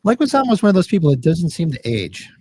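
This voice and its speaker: Fast-talking husky man in his fifties. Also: a little high, huge pitch swings, darkish tone, dry recording, clean studio sound. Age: 50s. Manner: joking, blunt, swears. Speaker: podcast co-host. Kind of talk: casual movie watch-along chat.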